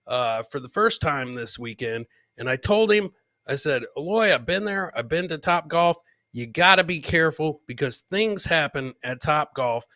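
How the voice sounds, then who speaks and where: A man's voice: on the high side, sharp tone, dry recording, clean studio sound. A man hosting a casual comedy livestream, talking in a hyped, slangy way and repeating phrases for emphasis while getting over a cold. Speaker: podcast host.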